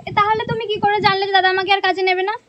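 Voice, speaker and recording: A woman's voice: extremely high pitch, flat delivery, very fast, lo-fi sound. A young woman, talking casually as a prank-calling vlogger. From West Bengal, India. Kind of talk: casual prank phone call.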